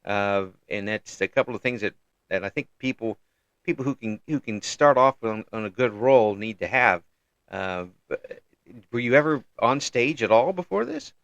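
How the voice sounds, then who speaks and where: An older man hosting a casual interview, halting and repeating words as he goes, with frequent chuckles. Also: medium pitch, animated, fairly bright tone, no room sound, lo-fi sound. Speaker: interview host.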